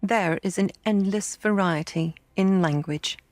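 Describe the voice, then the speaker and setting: A woman voicing a cool, teasing village girl who speaks in a slow, superior drawl. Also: medium pitch, dry recording, soft background music, natural voice. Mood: aloof.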